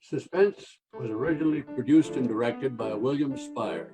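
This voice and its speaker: A 1940s network announcer, played by a man, reads a formal scripted sign-off in a mid-pitched voice. Music plays clearly behind him. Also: normal speed, lightly acted, low-quality recording. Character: 1940s network radio announcer reading closing credits.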